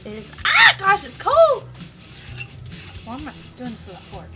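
A woman's voice: very high voice, huge pitch swings, pushed and bright, soft background music. Bubbly young woman hosting a kitchen show. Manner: bubbly, teasing, quick outbursts. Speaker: host of a homemade cooking show. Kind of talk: playful mock cooking-show presenting. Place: small home kitchen.

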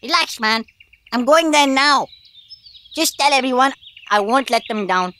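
Cartoon bunny: little cunning male, high sharp voice, excitable and put-upon. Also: heavily acted. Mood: resigned. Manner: pleading, quick-witted, excitable. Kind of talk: animated cartoon acting.

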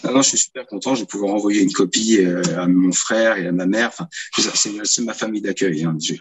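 A man in his thirties, a guest author answering interview questions in relaxed, casual speech full of hesitations and repeated words, recorded over a video call from a small room. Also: on the low side, quick pace, breathy edge, low-quality recording. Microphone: video call, earphones.

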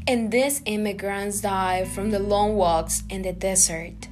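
Guatemalan-born student, reads evenly at a middle pitch over guitar music. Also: female voice, unhurried. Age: young adult. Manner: earnest, steady reading. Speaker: student podcaster. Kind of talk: scripted reading.

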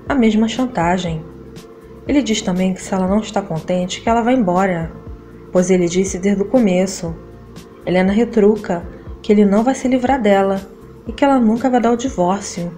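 A Brazilian woman narrates a soap opera recap from a script. Her voice sits at a middle pitch. Light music plays behind her. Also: warm tone.

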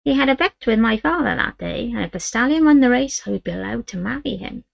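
A woman voicing a fierce old great-grandmother from a storybook, with a high, croaky old voice. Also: animated, fast talker, no room sound. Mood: wistful.

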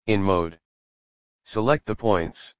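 A man narrating a software tutorial voice-over in short, clipped step-by-step instructions with simple grammar. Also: fairly low pitch, takes it slowly, smooth.

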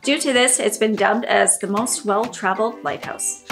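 A middle-aged woman hosting her own channel, reading a scripted informative narration in an upbeat, clear way, with a slight lingering cough. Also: a little high, normal speed. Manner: upbeat, clear, lively on list headings. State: lingering cough after illness. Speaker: channel host. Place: indoors before a green screen. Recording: background music under the voice.

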